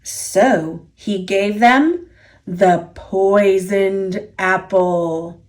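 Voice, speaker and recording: A woman reading a children's picture book aloud as a storyteller, expressive and deliberate, drawing out key words. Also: mid-range voice. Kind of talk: children's story read-aloud, scripted narration.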